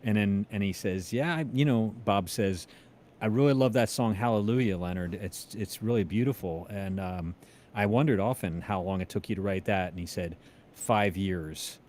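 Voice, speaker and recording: A man hosting a casual chat, telling anecdotes in a halting way as he searches for words. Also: on the low side, darkish tone, slight background noise. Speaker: show host and composer.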